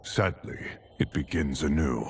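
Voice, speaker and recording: A man playing a solemn, weary yet resolute guardian in a video game, speaking gravely and formally. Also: rumbling low, measured pace, a little hiss or hum. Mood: grave, regretful. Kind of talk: cinematic video game acting.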